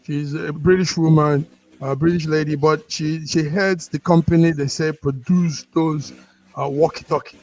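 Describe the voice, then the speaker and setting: Higher-voiced man hosting news. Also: steady tone, darkish tone, poor audio. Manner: halting, repeats words. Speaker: news discussion host. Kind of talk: news discussion, unscripted presenting.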